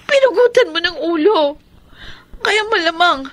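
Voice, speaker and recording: A frightened Filipino servant woman in a radio drama. Her high voice is plaintive and reproachful, full of anxiety. Faint noise sits behind her. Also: lively intonation, a little slow, a bit bright, heavily acted. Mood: horrified, reproachful. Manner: anxious, plaintive, accusing.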